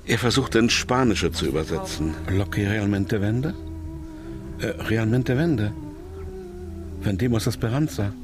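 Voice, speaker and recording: Kidnapped businessman, male actor: lowish voice, anxious but keeping control, radio play with music. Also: measured pace, long pauses, close to an everyday voice. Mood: desperate, searching. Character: anxious captive businessman trying to stay composed. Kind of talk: radio play inner monologue and narration.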